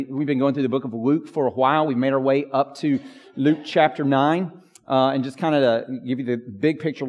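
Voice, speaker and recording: A man preaching as a pastor, with a hoarse voice and lots of fillers and restarts. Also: medium pitch, darkish tone. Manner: filler-heavy, restarts often. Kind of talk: prayer and sermon. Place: church sanctuary. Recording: long church reverb.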